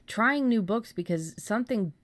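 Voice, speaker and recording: A woman's voice: medium pitch, expressive, moderate pace, no echo. A woman hosting a podcast in casual chat, informal and given to acting out imagined remarks. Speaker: podcast host.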